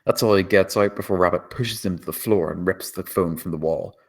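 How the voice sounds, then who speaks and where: A man's voice: low voice, fairly quick. A man reading scripted screenplay action as the narrator.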